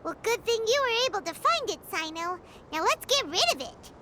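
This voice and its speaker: A woman's voice: extremely high pitch, very animated, a little fast, heavy background noise. A woman voicing a tiny chirpy floating fairy companion who talks about herself in the third person, in a video game. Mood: relieved. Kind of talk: game voice acting. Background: loud game music.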